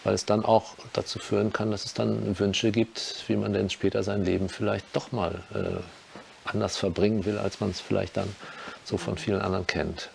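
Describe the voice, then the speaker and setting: Man, heavy vocal fry, monotone, halting interview answers, faint hiss. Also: lowish voice. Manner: halting, word-searching. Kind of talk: reflective interview talk.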